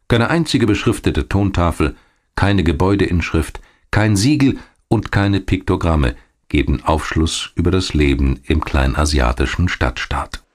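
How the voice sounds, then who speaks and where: A man reading scripted documentary narration in a measured, deliberate storytelling manner. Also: low-pitched.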